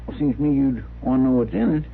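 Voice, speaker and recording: An actor playing a fussy, nagging marshal's helper with folksy, rambling speech in a western radio drama. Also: male voice, mid-range voice, animated, quick pace, vocal fry. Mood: fussy, put out. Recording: crackly lo-fi old radio recording.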